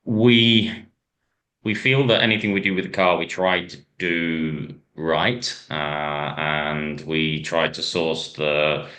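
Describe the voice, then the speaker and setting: Very deep-voiced man, bright tone. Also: fairly even intonation, slow. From Ireland. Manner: rambling, many ums and restarts, storytelling. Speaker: hill climb driver, guest. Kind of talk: casual interview chat.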